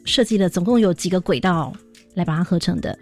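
A woman's voice: on the low side, brisk, faint music behind. A woman with a Taiwanese Mandarin accent hosting an educational radio interview, explaining things and addressing listeners directly. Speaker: radio host.

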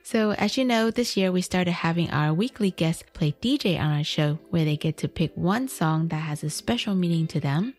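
A woman from Taiwan hosts a podcast in a chatty, lightly self-deprecating way, reading a prepared script. Her voice sits on the low side for a woman. Faint music plays beneath her. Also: expressive, normal speed, few pauses, darkish tone. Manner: chatty, self-deprecating, switches between English and Mandarin. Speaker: podcast host. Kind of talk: scripted bilingual podcast narration.

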